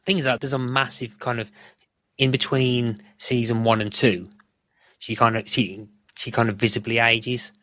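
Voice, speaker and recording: A man's voice: medium pitch, little pitch movement, moderate pace, fairly bright tone, no echo. A man chatting casually as a podcast guest, explaining things in a rambling way. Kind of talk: casual chat.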